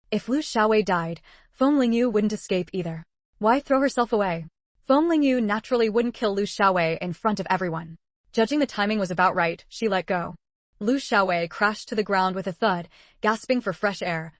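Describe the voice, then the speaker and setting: A woman narrating a translated web novel in an even, measured storytelling voice. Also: medium pitch, dry recording. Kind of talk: scripted audiobook narration.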